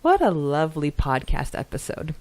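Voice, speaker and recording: A woman with a fairly low voice co-hosts a podcast in a casual, conversational way. She sounds close to the mic in a dry space, moving between reading comments aloud and chatting about them. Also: wildly swooping pitch, moderate pace, a little mellow, no room sound. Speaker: podcast co-host.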